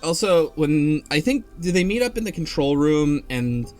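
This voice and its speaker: A man's voice: on the high side, big pitch swings, normal speed, a little mellow. A man chatting in a rambling, informal way as a podcast panelist recapping a story. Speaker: book club podcast panelist. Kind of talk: casual chat recapping a game's story. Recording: music playing behind the talk. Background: music.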